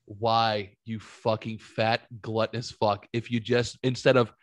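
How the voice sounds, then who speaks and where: A man in his late thirties hosting a podcast from a home studio, talking bluntly with plenty of swearing into a boom-arm mic. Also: flat delivery, a little slow, no echo. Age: 30s. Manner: blunt and profane, punchy retorts. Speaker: podcast host. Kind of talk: casual podcast chat. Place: home studio room, indoors. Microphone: studio mic on boom arm, headphones.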